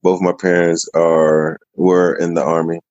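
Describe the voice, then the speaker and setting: Male guest, deep, relaxed measured delivery, dry muffled remote audio. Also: dry recording. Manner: easygoing, measured, with frequent pauses. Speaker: podcast guest. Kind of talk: casual interview answers.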